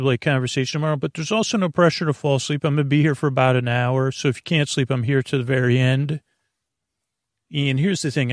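Man, sleep podcast host, rambling soft bedtime chat, hesitant fillers, gravelly, mid pitch. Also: dry recording, clean studio sound.

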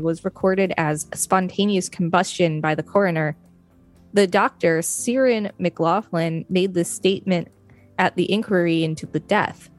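A woman co-hosting a show, reading an encyclopedia article aloud as informative narration. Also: lowish voice.

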